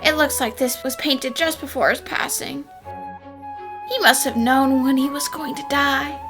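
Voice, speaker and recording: A woman's voice: high voice, fairly even intonation, a little slow, music in the background. A woman voicing characters in a fan dub, pleading and flustered.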